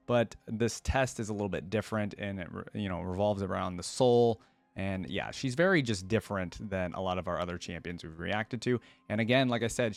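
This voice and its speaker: A man's voice: fairly low pitch, no echo. A man hosting a reaction video, talking casually and rambling as he thinks aloud. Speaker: YouTube reaction host. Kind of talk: casual reaction commentary to camera.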